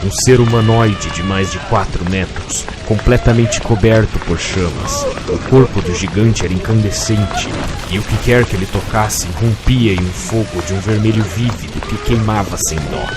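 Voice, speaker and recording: A man with a Brazilian accent, narrating a scripted fantasy tale as a dramatic storyteller. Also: sits low. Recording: music behind the voice. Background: musical backing.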